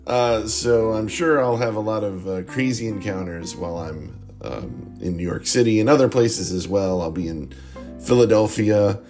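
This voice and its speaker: A man's voice: on the low side, moderate pace, darkish tone, faint music behind. A middle-aged man hosting a podcast, losing his voice, rambling through a joking, self-mocking sign-off with frequent laughs. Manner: jokey, laughs often, self-deprecating. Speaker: podcast host. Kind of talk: casual rambling podcast outro.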